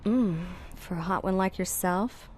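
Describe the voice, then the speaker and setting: An actress in a video game playing a flirtatious, streetwise prostitute with a drawling come-on manner. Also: female voice, medium pitch, measured pace, a little mellow, a little hiss or hum, lightly acted. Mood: seductive.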